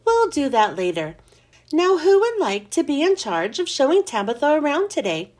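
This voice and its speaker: A woman voicing a kind but firm female schoolteacher who gives brisk classroom instructions in a lifted voice. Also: high-pitched, very animated, darkish tone. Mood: firm, kindly.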